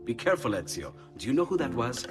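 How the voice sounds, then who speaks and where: A man's voice: mid-range voice, very animated, quick pace, music in the background, natural voice. A man playing a modest, friendly Italian Renaissance companion with an Italian accent in a video game. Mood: cautioning.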